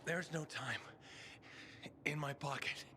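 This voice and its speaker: An actor playing a wounded ally who gives urgent, strained commands. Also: male voice, on the high side, takes it slowly, background noise. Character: wounded urgent male ally, middle-aged. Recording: game cutscene with music behind.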